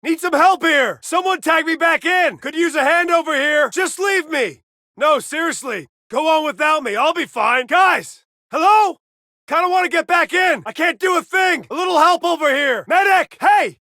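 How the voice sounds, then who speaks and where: A man playing a tough, wisecracking soldier in a video game, calling out loud, urgent battlefield lines. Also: extremely high pitch, animated, normal speed, dry recording, studio quality, heavily acted. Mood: strained, shouting. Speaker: video game player character voice. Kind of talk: scripted video game combat barks.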